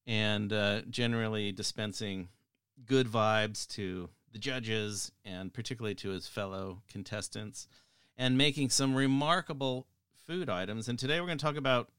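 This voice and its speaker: Earnest podcast host man, bright clear voice. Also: on the low side, dry recording, studio quality. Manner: earnest, measured, with frequent ums. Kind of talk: podcast interview.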